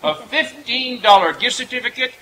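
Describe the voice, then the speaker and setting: A man's voice: extremely high pitch, lively intonation, moderate pace, pushed and bright. A man hosting an old-time radio variety show with a showman's projected patter. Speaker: master of ceremonies. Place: outdoor night stage in woods, live audience. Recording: noisy outdoor stage, crowd.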